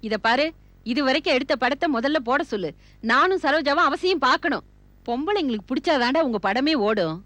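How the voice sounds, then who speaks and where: A woman's voice: high-pitched, expressive, brisk, fairly bright tone. A woman playing a bossy mother scolding her grown son in a television serial, reproving and commanding. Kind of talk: Tamil television serial acting.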